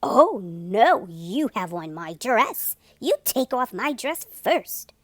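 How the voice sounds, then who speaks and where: A woman's voice: fairly high pitch, big pitch swings, slow, no echo, studio quality, voice pushed down, full character voice. A woman voicing a bossy, easily flustered schoolteacher with emphatic, exasperated delivery, for a children's storybook. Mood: indignant. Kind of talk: children's storybook read-aloud.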